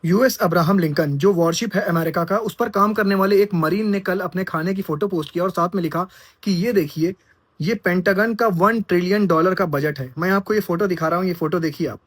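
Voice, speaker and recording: Husky man doing news commentary. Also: sits high, a little fast, dry recording. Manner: addresses viewers as friends, rhetorical questions.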